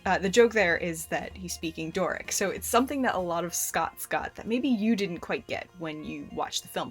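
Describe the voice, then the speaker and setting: A young adult woman with an American accent, talking casually to camera as a host in a chatty, animated way with frequent restarts. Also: on the low side. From United States. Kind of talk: casual explanatory talk to camera.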